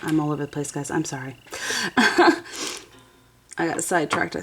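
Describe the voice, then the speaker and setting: A woman's voice: low voice, expressive, normal speed, a little hiss or hum. A woman in her thirties with a sniffly head cold, chatting casually and a bit ramblingly as she walks through a nail art tutorial at her desk. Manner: chatty, rambling, self-correcting. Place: home desk, nail station. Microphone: overhead camera, hands only.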